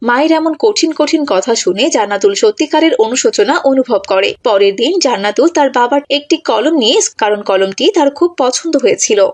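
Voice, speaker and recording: A woman's voice: fairly high pitch, animated, a little fast, few pauses, darkish tone. A woman narrator reading a scripted moral story for children.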